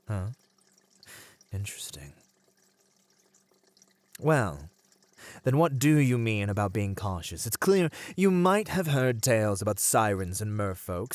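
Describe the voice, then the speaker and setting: A man playing a captive merman in an ASMR roleplay, charming, plaintive and slyly persuasive, speaking gently in formal, wistful phrases. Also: fairly low pitch, dry recording. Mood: cautious, reassuring.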